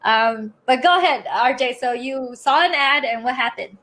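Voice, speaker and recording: A woman's voice: sits high, normal speed, a bit bright. A woman hosting a live-streamed interview show. She talks in an upbeat, chatty way with frequent fillers. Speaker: host of a live online show.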